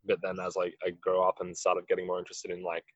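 A musician with a husky voice, answering interview questions in a rambling way, full of "like". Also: male voice, low-pitched, monotone, fast talker, dry recording. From Australia. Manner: rambling, heavy use of "like". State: husky from late rehearsal. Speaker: musician in a band.

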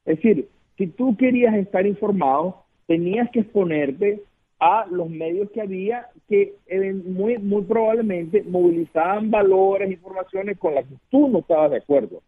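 A man's voice: high-pitched, normal speed. A man from Venezuela with a Venezuelan Spanish accent, a guest political consultant talking earnestly in a phoned-in radio interview, repeating words and asking rhetorical questions. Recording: muffled phone line.

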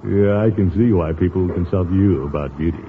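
An actor playing a pompous, flirtatious middle-aged uncle and small-town official in classic radio comedy, blustering and chuckling fussily. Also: male voice, deep voice, a little fast, natural voice. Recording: noisy, narrow-band vintage radio recording.

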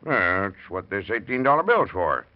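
An actor playing an earnest, hesitant village mechanic who repeats himself, in an old-time radio drama. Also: male voice, lowish voice, very animated, normal speed. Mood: puzzled, insistent. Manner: hesitant, repetitive, apologetic. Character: folksy village garage mechanic, earnest and dogged. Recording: dull vintage radio recording.

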